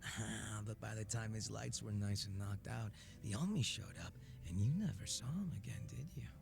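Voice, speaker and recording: A man's voice: on the low side, normal speed, gravelly. A man playing a laid-back, sardonic former gang boss in a video game cutscene, talking casually with teasing and profanity. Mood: sly, mocking. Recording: music under the dialogue.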